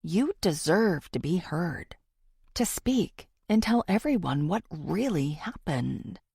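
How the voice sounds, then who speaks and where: A woman narrator plays an earnest female true-crime podcaster in a thriller audiobook. She is confiding and theatrical, her lowish voice swinging expressively and breaking into fry. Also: animated, a little mellow, no echo, heavily acted. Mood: pleading, insistent. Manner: confiding, theatrical, deliberate pauses.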